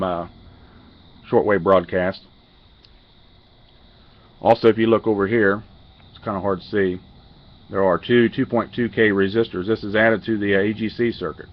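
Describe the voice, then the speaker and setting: A man giving a casual hands-on technical walkthrough as a radio hobbyist, halting and matter-of-fact, picked up on a handheld camera mic. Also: lowish voice, muffled recording. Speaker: amateur radio hobbyist demonstrating a modified radio.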